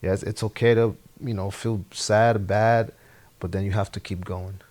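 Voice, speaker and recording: A young man with a Spanish accent, speaking English as a second language as a guest in a relaxed interview, halting and repeating his words. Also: on the low side. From Honduras. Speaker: podcast guest, former soccer player. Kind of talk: casual interview chat.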